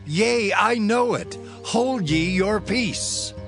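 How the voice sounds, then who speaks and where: A man's voice: high-pitched, animated, drawn-out words, vocal fry, fairly bright tone, soft background music, full character voice. A man playing a devoted, solemn prophet's disciple in a dramatized Bible, with declamatory delivery. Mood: stern.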